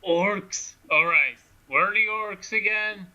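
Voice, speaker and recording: A man voicing a villainous Dark Lord and his orcs, deliberate and sluggish, in a fan fiction reading. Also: high voice, heavily acted. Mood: slow, villainous. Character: villainous Dark Lord and orc henchmen, slow. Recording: dull lo-fi microphone.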